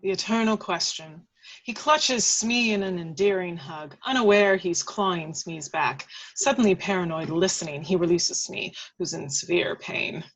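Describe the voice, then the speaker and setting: A woman's voice: lowish voice, moderate pace, a bit bright, rough recording. A woman reading scripted action descriptions as the narrator of a table read. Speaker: stage-direction reader.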